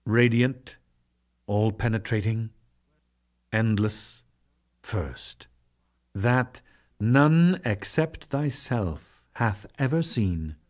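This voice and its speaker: A man voicing a majestic, commanding male god in a dramatic scripture reading, solemn and measured with weighty pauses. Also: lowish voice, expressive, voice pushed down.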